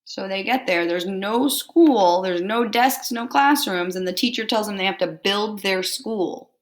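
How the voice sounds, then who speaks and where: A woman teacher reading a picture book aloud to children, expressively and deliberately, pausing to put simple questions to them. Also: medium pitch. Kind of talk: storybook read-aloud with questions to young listeners.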